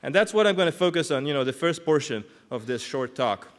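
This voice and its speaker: A man's voice: fairly high pitch, lively intonation, brisk. Man, 30s, earnest, reflective, conference talk, echoing hall. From Israel. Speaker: clinical psychologist, conference speaker. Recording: long hall reverb.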